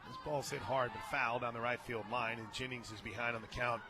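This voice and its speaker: A man doing live play-by-play softball commentary on the radio, calling each play in short clipped phrases. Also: medium pitch, steady tone, brisk. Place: outdoor ballpark radio booth. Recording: outdoor crowd noise behind the voice.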